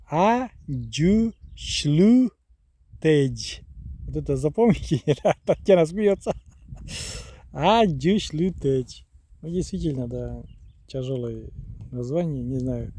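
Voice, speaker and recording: A man streaming live outdoors from the countryside on a phone, chatting with viewers and spelling words out patiently. His voice sits a bit high yet sounds soft and mellow, and he talks slowly. Faint outdoor noise stays in the background. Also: animated. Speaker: live streamer from a rural village. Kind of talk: casual live stream, reading chat aloud. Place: outdoors in the countryside near a river, on the move.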